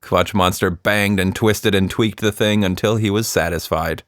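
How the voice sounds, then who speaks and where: A man narrating a scripted children's story in an expressive storyteller's manner. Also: lowish voice, moderate pace, dry recording, clean studio sound. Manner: expressive, animated reading.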